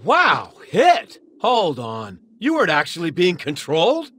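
An actor playing an earnest, plain-spoken young martial arts hero in an anime video game, exclaiming with excitement. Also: male voice, on the high side, a little slow, slight background noise, exaggerated voice. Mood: surprised, earnest. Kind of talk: English anime game dub acting.

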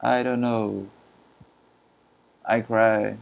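A man from Brazil whose first language is Portuguese, chatting casually in halting English with many fillers and frequent laughs while streaming a game. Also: lowish voice, flat delivery, vocal fry, lo-fi sound. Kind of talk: casual live-stream commentary.

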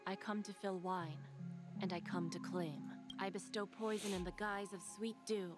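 An elegant, cunning woman of mid pitch, played by an actress, poised and quietly sinister. Her theatrical game delivery sits under loud music. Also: steady tone, moderate pace, natural voice. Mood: coolly sinister. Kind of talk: theatrical video game voice acting.

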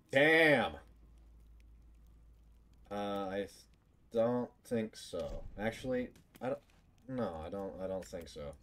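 A man's voice: lowish voice, lively intonation, slow, long pauses, fairly bright tone. A man streaming a video game with casual, joking, sweary commentary, muttering to himself as he plays. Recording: clear background game noise.

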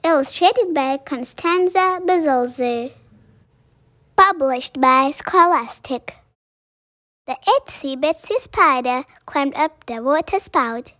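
A child reading a picture book aloud in a careful, deliberate way. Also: high-pitched, pushed and bright, no room sound, clean studio sound. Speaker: story reader.

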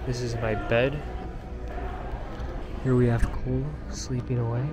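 A man's voice: medium pitch, level tone, unhurried, loud music behind the voice. A young man narrating a casual vlog in a dining hall, playful and joking with friends. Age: young adult. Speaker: vlogger. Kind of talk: casual handheld vlog chat. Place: university dining hall during a storm.

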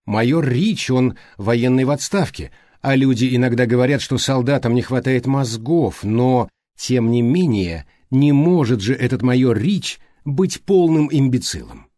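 A man voicing an animated, insistent older detective in an audiobook, reasoning aloud with emphatic questions. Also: mid-range voice, a little slow, few pauses, no room sound, clean studio sound, lightly acted. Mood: probing. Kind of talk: audiobook character dialogue.

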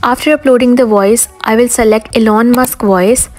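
A woman hosting and narrating a scripted step-by-step software tutorial. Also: fairly high pitch, fairly even intonation, normal speed. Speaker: tutorial host. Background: background music.

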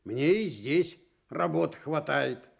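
A man performs a big, self-satisfied male bear from a children's radio tale. The voice is gravelly and swings widely in pitch. He takes his time, pompous and stubborn about his own opinions. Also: animated, full character voice. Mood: cross. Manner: self-important, smug, unhurried. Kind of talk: fairy-tale radio reading in character.

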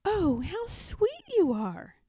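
A woman's voice: very high-pitched, big pitch swings, slow pace, warm tone, no echo, clean studio sound, pitched above the performer's own voice, heavily acted. A woman voicing a sweet, caring young girl bear cub, tender and cooing, in a children's storybook.

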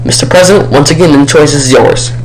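A man's voice: a little high, fast talker, natural voice. A man playing an urgent military adviser to the president in a homemade audio drama. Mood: indignant, urgent. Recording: noisy homemade recording with steady hum.

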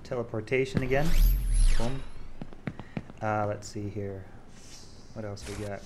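Low-voiced man, casual demo, noisy recording. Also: fairly even intonation. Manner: halting, thinking aloud. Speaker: game developer showing a project.

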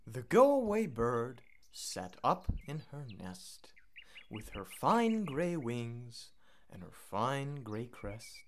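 A woman reads a rhyming children's picture book aloud. Her delivery is slow and measured, with a singsong lilt that rises and falls widely. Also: rumbling low, very animated, slight background noise. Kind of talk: expressive storybook read-aloud.

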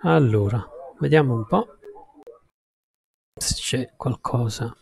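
A male game streamer talks in a mid-pitched voice. His pace is very slow and halting, as he thinks aloud and chats casually to his viewers. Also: creaky, darkish tone, a little hiss or hum. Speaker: game livestreamer. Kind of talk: casual chat with stream viewers while gaming.

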